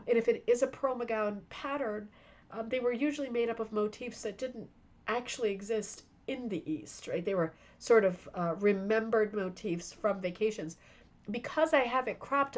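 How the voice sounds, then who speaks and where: A woman hosting a rug hooking club stream, tired and losing her voice, talking enthusiastically and rambling while chatting with viewers by name. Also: medium pitch. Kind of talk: live stream show-and-tell chat.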